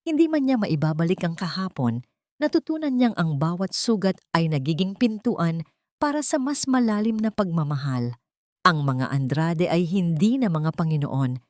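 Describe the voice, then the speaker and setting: A woman narrating a dramatic story in an earnest, sentimental, measured way. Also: lowish voice, a little mellow, no echo, studio quality.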